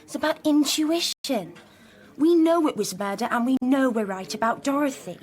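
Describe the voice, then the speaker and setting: A woman's voice: high-pitched, expressive, normal speed, a little mellow, husky. A woman acting in a radio drama as an earnest, insistent teenage daughter who is sure of her hunches. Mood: insistent, certain. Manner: insistent, eager.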